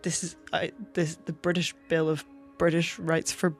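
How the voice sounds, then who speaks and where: A man's voice: on the high side, little pitch movement, moderate pace, creaky, soft background music. A man interviewing in a casual, conversational way, feeling out questions tentatively and in a roundabout manner.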